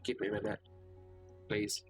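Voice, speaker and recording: A man's voice: moderate pace, soft background music, lightly acted. A man voicing a dry, frank, sad woman passenger. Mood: nervous.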